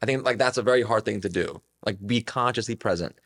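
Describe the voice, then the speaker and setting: A man's voice: fairly low pitch, quick pace, fairly bright tone. A man in his twenties talking casually and reflectively as a podcast guest, earnest and rambling, with plenty of filler words. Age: 20s.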